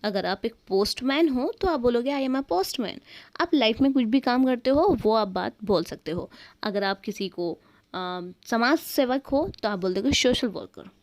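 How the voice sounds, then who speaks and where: A woman with an Indian accent teaching everyday English sentences in Hindi, saying each English sentence slowly and clearly. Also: a little high, expressive. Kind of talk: spoken English lesson in Hindi.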